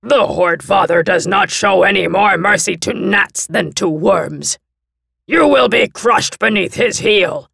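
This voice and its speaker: A woman voicing a diseased, arrogant male envoy of a horde lord, with a raspy, ruined-sounding throat and slow, haughty threats. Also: mid-range voice, a bit bright, dry recording, voice pushed up, exaggerated voice. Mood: menacing. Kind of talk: audiobook character voice.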